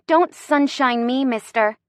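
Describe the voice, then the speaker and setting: A woman's voice: fairly high pitch, expressive, moderate pace, fairly bright tone, dry recording, studio quality, voice pushed up. A woman acting an emotional, dramatic young heroine. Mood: exasperated. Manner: emotional, emphatic. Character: impulsive, dramatic, apologetic young heroine in love.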